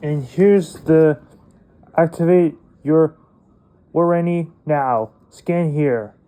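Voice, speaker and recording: A man narrating a toy unboxing casually in short, plain, halting phrases. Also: fairly high pitch, warm tone, clean tone. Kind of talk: casual unboxing narration, reading packaging aloud.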